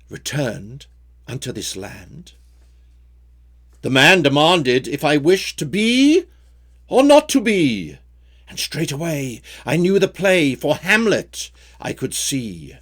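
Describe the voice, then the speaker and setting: A man reading his own story poems in a measured, theatrical way with a rhyming cadence. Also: on the high side. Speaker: poet reading own work. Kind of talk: dramatic poetry recitation.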